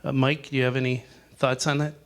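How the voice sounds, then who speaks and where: A man's voice: medium pitch, little pitch movement, brisk. A man leading a campground owners' discussion in a meeting room, casual and rambling, with many fillers and restarts. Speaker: discussion group leader.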